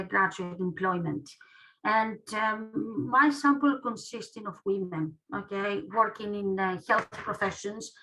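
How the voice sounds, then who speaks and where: Greek lady researcher giving an online talk, bright sharp voice, halting, says okay a lot. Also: medium pitch, low-quality recording. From Greece. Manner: halting delivery, often punctuated with "okay" as a tag. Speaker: academic researcher, guest speaker. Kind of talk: online presentation.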